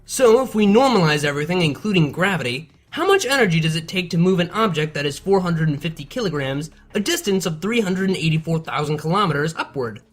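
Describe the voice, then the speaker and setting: A performer voicing an excitable, nerdy pony scientist, explaining precisely in a scripted educational dialogue. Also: medium pitch, fast, few pauses, close to an everyday voice. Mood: eager explaining. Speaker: science explainer in an animated analysis show. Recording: music behind the voice.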